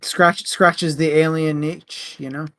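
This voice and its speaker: A man co-hosting a casual book discussion, speaking in a tentative, halting way. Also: a little high, flat delivery, fairly bright tone, no echo. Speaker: podcast co-host.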